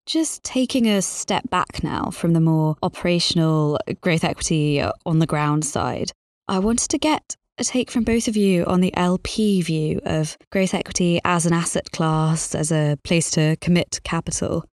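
A woman's voice: on the low side, unhurried, darkish tone, dry recording, studio quality. A woman hosting a business podcast, putting polite, structured questions to her guests. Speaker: podcast host, interviewer.

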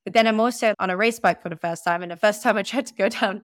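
A young woman from the Netherlands, a podcast guest speaking candidly and laughing at herself in a relaxed interview. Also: medium pitch, fast talker, no room sound, studio quality. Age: young adult. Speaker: podcast guest, growth advisor. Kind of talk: conversational interview.

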